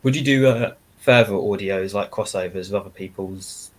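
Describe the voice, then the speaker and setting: A somewhat low-voiced man co-hosting a relaxed podcast. He hesitates and stumbles, repeating words before he gets a question out. His delivery is loose and unpolished. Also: moderate pace. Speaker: podcast co-host. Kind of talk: casual podcast chat.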